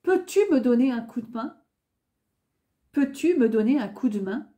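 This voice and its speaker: A woman teaching a beginner French lesson, saying each useful phrase twice with careful, clear enunciation. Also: a little high, expressive, normal speed, warm tone, highs cut off. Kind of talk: beginner language lesson, repeating phrases slowly.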